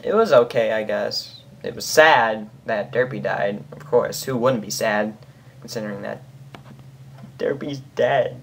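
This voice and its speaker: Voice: mid-range voice, a little slow, creaky, a little hiss or hum. An amateur reader and host narrating fan fiction in an informal, emotive way. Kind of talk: amateur story narration with casual reaction.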